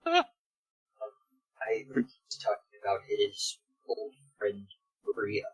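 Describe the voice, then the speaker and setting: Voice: mid-range voice, huge pitch swings, slow pace, husky, soft background music, low-quality recording. A reader casually explaining and narrating fan fiction to a group of friends. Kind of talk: casual explaining and read-aloud.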